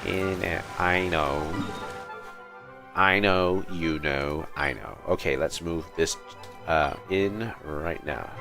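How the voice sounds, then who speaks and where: A man's voice: rumbling low, takes it slowly, creaky, over music. A man streaming a video game, giving casual commentary in short, muttered asides to himself. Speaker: game livestreamer.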